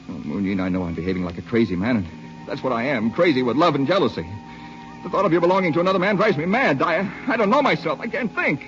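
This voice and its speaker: A man's voice: mid-range voice, a bit bright. A desperate, bitter rejected suitor in a 1940s radio melodrama. His delivery is intense and theatrical, in a middle register. The recording is muffled, with music behind him. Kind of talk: 1940s old-time radio drama acting.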